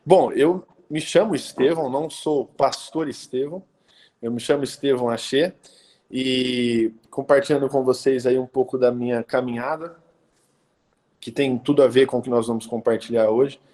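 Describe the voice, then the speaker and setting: A man, talking as a pastor on a live stream. His pitch sits in an ordinary middle range for a man. He keeps it relaxed and conversational, leaning on hesitations and tag questions. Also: normal speed. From Brazil, interior of São Paulo state. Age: 30s. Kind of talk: casual live-stream talk.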